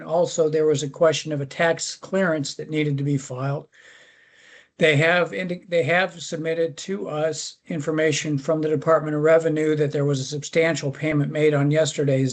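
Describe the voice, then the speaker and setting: Male commission member, fairly high voice, flat delivery, halting procedural phrasing. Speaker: commission member presenting permit cases. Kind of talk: formal public meeting discussion.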